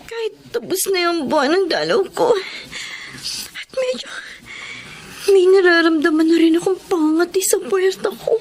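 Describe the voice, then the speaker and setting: A betrayed, meek wife from a Filipino radio soap. Her voice sits extremely high and sounds hesitant, tearful and pleading. The recording carries some faint background noise. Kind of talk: Filipino radio drama acting.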